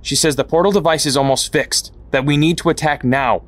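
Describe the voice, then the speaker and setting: A man's voice: fairly high pitch, brisk, lightly acted. A man playing a hardened, distrustful resistance fighter in an audio drama, confrontational and sarcastic. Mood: angry, distrustful.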